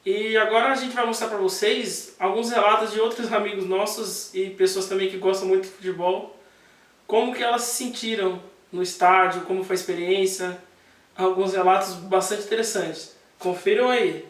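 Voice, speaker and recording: A man's voice: sits high, steady tone, moderate pace, far from the mic. A Brazilian man hosting a casual talk to camera, chatty and jokey, with asides about the game. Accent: Brazilian Portuguese. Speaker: host. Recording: echoey room, voice a bit off-mic.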